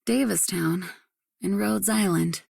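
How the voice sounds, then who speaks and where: An actress playing a restless wanderer in a video game, speaking reflectively. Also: female voice, mid-range voice, takes it slowly, gravelly, darkish tone, breathy edge, no echo, studio quality, full character voice. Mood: reflective, wistful. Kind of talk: video game character monologue.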